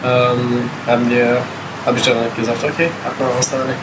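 A man's voice: medium pitch, monotone, moderate pace. Italian-born man in his thirties, hesitant. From Italy. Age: 30s. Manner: hesitant, many filler sounds, mixes English words. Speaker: interview guest, backpacker. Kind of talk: casual podcast interview. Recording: loud background noise.

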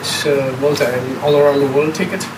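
A man in his thirties, born in Italy, answering questions as a guest on a casual travel podcast, with many pauses and filler sounds. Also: a little high. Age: 30s. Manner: hesitant, many filler sounds, mixes English words. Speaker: interview guest, backpacker. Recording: loud background noise.

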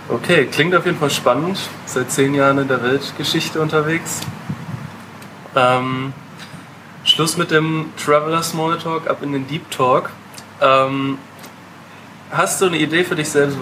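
A man's voice: mid-range voice, fairly even intonation, a little slow, pauses often. Man hosting a travel podcast over hum. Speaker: podcast host, backpacker. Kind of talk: casual podcast interview. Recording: noisy recording.

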